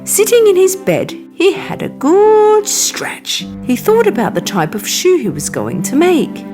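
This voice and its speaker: A woman reading a scripted bedtime story for children in an expressive, animated storytelling manner. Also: extremely high pitch, a little slow. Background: music.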